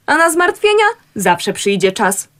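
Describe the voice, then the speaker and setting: A woman's voice: mid-range voice, very animated, measured pace, fairly bright tone. A cheerful, carefree young lady, played by a woman in a radio play. Her voice moves lightly up and down.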